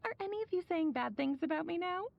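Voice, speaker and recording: A woman's voice: very high voice, lively intonation, quick pace, creaky, highs cut off, heavily acted. An actress playing a breezy, theatrical sorceress mother in a video game, chatty and teasing. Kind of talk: English video game voice acting. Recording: band-limited audio with music behind.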